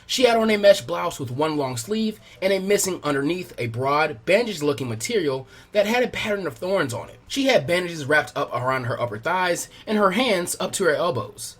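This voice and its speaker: A man's voice: high voice, sing-song, normal speed, few pauses. A man reading fan fiction aloud as scripted story narration.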